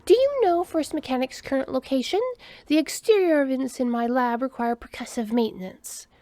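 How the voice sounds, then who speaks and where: A woman voicing a stern, high-ranking female alien officer who talks in clipped, demanding questions. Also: moderate pace, mellow, dry recording, natural voice.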